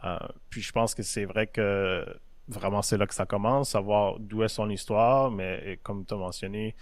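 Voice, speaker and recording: A man's voice: on the low side, steady tone, no echo. A young man hosting a podcast, talking casually with frequent hesitations. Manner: halting, full of hesitations. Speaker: podcast host.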